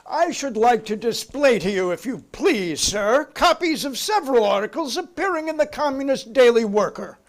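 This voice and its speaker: A man's voice: extremely high pitch, expressive, normal speed, hardly any pauses. A man reading the part of a formal, insistent committee counsel questioning a witness in a dramatic reading of a 1950s hearing. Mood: formal, accusing. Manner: courtly, official, insistent.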